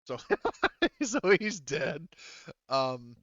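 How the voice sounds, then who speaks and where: A man's voice: on the high side, very animated, drawn-out words, fairly bright tone, no room sound, lo-fi sound. A man speaking informally as a game master, narrating play and calling rules, with some swearing. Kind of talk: casual tabletop game narration and rules calls.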